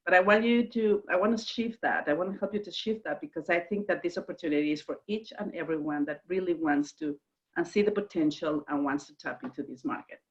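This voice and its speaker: A woman's voice: fairly low pitch, brisk, highs cut off. A woman from Mexico with a Mexican Spanish accent, speaking as a guest on a conference call in an enthusiastic, chatty way with frequent fillers. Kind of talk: casual conference call chat. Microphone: video call.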